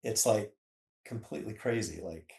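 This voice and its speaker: A man in his fifties chatting casually as a guest, halting and chiming in with short interjections. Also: lowish voice, vocal fry, warm tone. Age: 50s. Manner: halting, interjecting, echoing partner's words. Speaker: guest, author's husband. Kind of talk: casual chat. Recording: echoey room.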